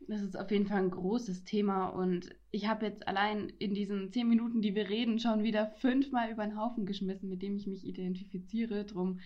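A woman's voice: medium pitch, little pitch movement, a little fast, hardly any pauses. A young woman from Franconia in Germany, co-hosting a podcast in a casual, reflective chat. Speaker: podcast co-host. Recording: dull, lo-fi podcast recording.

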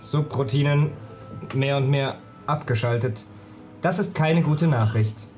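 A player voicing a literal-minded, middle-aged male golem robot who talks in flat, machine-like phrases, with music playing behind. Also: medium pitch, unhurried, close to an everyday voice. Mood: literal, matter-of-fact.

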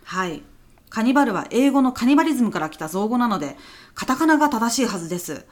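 A woman's voice: on the high side, big pitch swings, fast. A woman playing a polite, earnest and worried office subordinate in a comedic radio drama, speaking in a businesslike, matter-of-fact way. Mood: worried, insistent.

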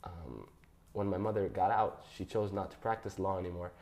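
A young man reading his personal statement aloud in a measured, careful way. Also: sits low, a little mellow. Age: young adult. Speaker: guest.

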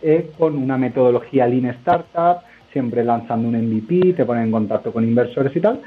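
A man's voice: mid-range voice, moderate pace, slight background noise. A man, a guest entrepreneur answering interview questions in a loose, rambling way full of hesitations. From Spain. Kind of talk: conversational interview. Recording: narrow phone-line sound.

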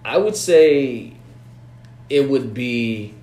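A man's voice: medium pitch, expressive, drawn-out words, warm tone. A man talking through business plans in a casual, rambling way, often repeating words and checking in with "you know what I'm saying". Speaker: business-minded artist partner. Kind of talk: casual planning chat. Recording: echoey room with noise.

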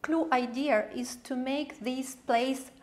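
A woman's voice: sits high, steady tone, slow. A woman TV host interviewing a guest, effusive and praising, with frequent hesitant fillers. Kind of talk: interview questions.

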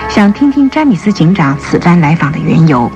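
Expressive woman narrating radio drama, lively pitch. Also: a little fast, dull audio. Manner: expressive, literary storytelling. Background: prominent music.

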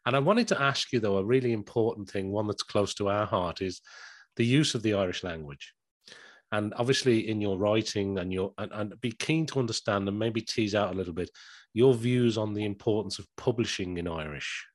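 A man's voice: on the low side, normal speed, no echo. A man hosting an interview, asking friendly, rambling questions with many fillers. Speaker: interviewer host.

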